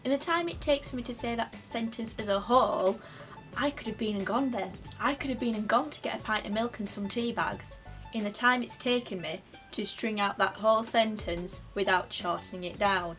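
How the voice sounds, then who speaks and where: A woman's voice: fairly high pitch, moderate pace, a bit bright, soft background music. A young woman in her twenties with a Yorkshire accent, chatting to camera in a playful, self-mocking way from her bedroom. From Yorkshire, England. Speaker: vlogger. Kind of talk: casual comic chat to camera. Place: bedroom, indoors.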